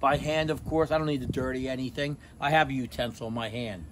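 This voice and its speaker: A man's voice: mid-range voice, fairly even intonation, quick pace, noisy background. Gushing middle-aged man cooking at home. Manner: enthusiastic, repeats catchphrases, sniffs and tastes food while talking. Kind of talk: casual cooking vlog. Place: home kitchen indoors, then outdoor deck at a grill. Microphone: handheld phone camera.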